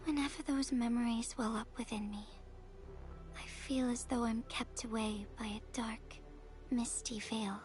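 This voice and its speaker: A woman playing a gentle, wistful young courier in a video game, speaking softly and breathily with a tender, reflective manner. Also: on the high side, steady tone, a little slow, soft background music, natural voice. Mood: wistful, uneasy. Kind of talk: video game story dialogue.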